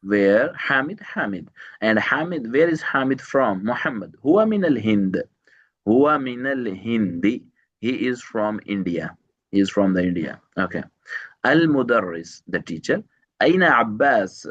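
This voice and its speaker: A man teaching Arabic one to one, repeating each phrase slowly, translating word by word and quizzing his student with short questions. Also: mid-range voice, expressive, muffled recording. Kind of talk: one-to-one language lesson.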